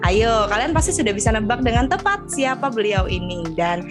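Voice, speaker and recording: Upbeat young woman podcast host, higher voice. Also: sing-song, normal speed. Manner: upbeat, chatty, addresses listeners directly. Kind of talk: casual podcast interview. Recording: music playing underneath.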